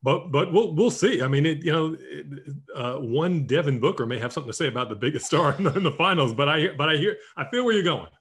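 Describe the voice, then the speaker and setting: A man's voice: a little high, expressive, fairly bright tone, breathy edge. A man co-hosting a sports podcast, talking casually and often restarting his sentences. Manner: halting, many restarts. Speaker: podcast co-host.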